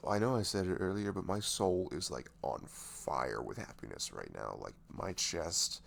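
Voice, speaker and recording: A man playing a nervous, dorky best friend confessing love in an intimate ASMR roleplay, halting and stammering, with sighs and soft breathy moments. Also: deep voice, full character voice. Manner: halting, stammering, sighing, breathy laughs, often dropping to whispers. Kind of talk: roleplay ASMR audio drama, intimate and conversational.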